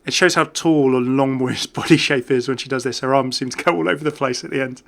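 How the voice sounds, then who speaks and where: A man's voice: mid-range voice, fast talker, gravelly, fairly bright tone. A man giving casual, off-the-cuff game commentary as a YouTuber, chatting and thinking aloud as he goes. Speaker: gaming YouTuber.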